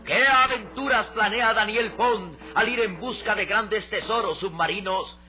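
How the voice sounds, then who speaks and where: A man's voice: sits high, a little slow, bright, forceful tone, a little hiss or hum. A man narrating a radio adventure serial in a dramatic, declamatory, suspenseful scripted style. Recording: dull old radio recording with music.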